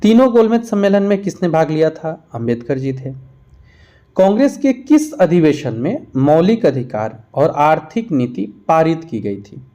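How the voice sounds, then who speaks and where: Higher-pitched, mellow-voiced male teacher. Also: sing-song, a little slow. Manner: explanatory, reads each question aloud then answers it. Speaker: teacher for competitive exam preparation. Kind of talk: question-and-answer revision lesson.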